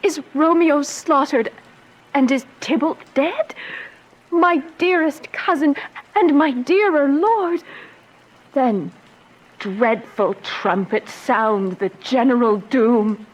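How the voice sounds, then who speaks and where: A woman playing an anguished, lovestruck young noble bride in a radio Shakespeare tragedy, with impassioned, drawn-out verse delivery. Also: sits high, highs cut off. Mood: heartbroken.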